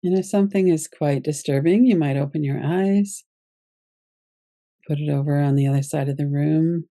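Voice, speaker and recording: Very mellow, creaky-voiced woman guiding meditation. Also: sits low, dry recording. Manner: gentle, unhurried instruction with pauses. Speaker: guided meditation teacher. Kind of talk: guided yoga nidra meditation, unscripted instruction.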